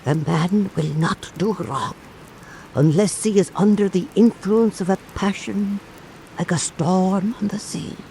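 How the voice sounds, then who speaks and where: An actor playing an earnest old islander who tells folk anecdotes in a measured radio drama cadence. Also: medium pitch, creaky, warm tone, a little hiss or hum, low-quality recording. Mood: solemn, pleading. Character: old island storyteller, earnest, compassionate toward wrongdoers.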